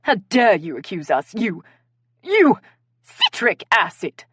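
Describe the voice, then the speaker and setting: A woman narrator voicing an excitable, indignant dinner-party woman with an English accent in a mock murder mystery. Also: sits high, normal speed, dry recording, studio quality, voice pushed up, exaggerated voice. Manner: melodramatic.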